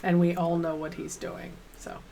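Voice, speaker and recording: A woman's voice: on the low side, level tone, moderate pace, gravelly, mellow, slight background noise. A woman co-hosting in casual banter, with playful, teasing interjections. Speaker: co-host.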